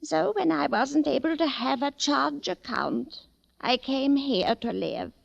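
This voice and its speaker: An actress playing a haughty, ghostly matriarch past eighty, grand and disdainful, in 1940s radio drama style. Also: female voice, sits high, animated, slow pace, gravelly, no echo, muffled recording, full character voice. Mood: grand, reminiscing. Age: older. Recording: old 1940s radio recording.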